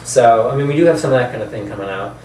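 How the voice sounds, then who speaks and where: A man chats casually as the host of a video channel, rambling a little in an informal way. His voice has an ordinary male pitch. It is picked up from a distance in a roomy, echoing space. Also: very fast, darkish tone. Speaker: host of a video channel about films and games. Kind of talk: casual chat. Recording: roomy echo, distant mic, faint noise.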